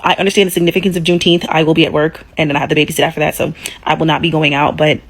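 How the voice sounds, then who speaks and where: Fast-talking, frank woman. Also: low voice, level tone. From Jamaica. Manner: frank, matter-of-fact. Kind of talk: casual opinion talk.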